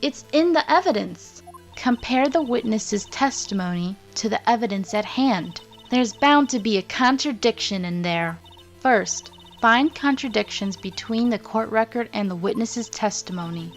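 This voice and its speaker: A woman's voice: fairly high pitch, expressive, normal speed, soft background music. A woman voicing a confident, encouraging female mentor lawyer, giving instructions in a fan-dubbed game. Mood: instructive. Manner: instructive, assured. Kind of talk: fan-dub game voice acting.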